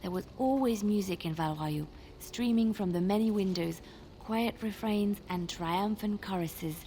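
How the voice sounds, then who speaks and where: An actress playing a gracious, wistful young bard in a video game, speaking in a lyrical storytelling way. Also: female voice, medium pitch, a little slow, mellow, a little hiss or hum, close to an everyday voice. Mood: wistful, dreamy. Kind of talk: video game dialogue acting.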